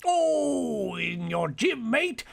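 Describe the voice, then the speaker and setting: A man playing a salty old sailor tattoo artist with a gravelly rasp and nautical slang, in an old-time radio drama. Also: very high voice, very animated, very slow, fairly bright tone, full character voice. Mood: hearty. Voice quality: gravelly, raspy. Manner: nautical slang, calls people mate.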